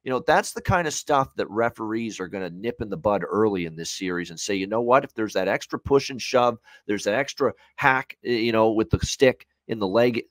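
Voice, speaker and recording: A man's voice: fairly low pitch, lively intonation, brisk, hardly any pauses, no room sound. A man in his thirties giving opinionated, emphatic hockey analysis as a co-host, speaking over a video call from a room at home. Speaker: sports betting co-host and analyst.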